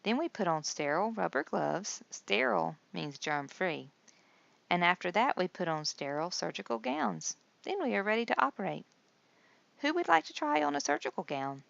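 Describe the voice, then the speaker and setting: A woman's voice: fairly low pitch, very animated, moderate pace, creaky, fairly bright tone, highs cut off, full character voice. A woman voicing a friendly male surgeon in a children's storybook, patiently explaining in an instructive way.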